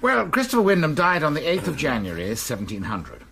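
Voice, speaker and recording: Fussy scholarly librarian, lively melody, faint hiss. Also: male voice, on the high side, fairly quick, vocal fry, full character voice. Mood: informative. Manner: precise, scholarly. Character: Crispin, fussy male college librarian.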